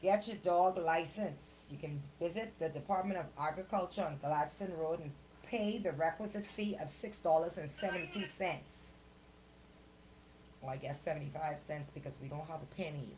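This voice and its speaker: A Bahamian woman with a slightly low voice co-hosts radio. She talks in a blunt, colloquial way, whether chatting or reading out news, and there is clear noise on the recording. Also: normal speed, a little mellow. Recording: clearly noisy radio show audio.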